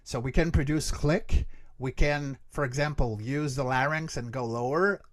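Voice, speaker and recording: A man speaking conversationally as an interview guest, explaining vocal technique in an animated teaching way and checking in with the listener. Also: medium pitch, moderate pace. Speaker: creature voice actor, interview guest.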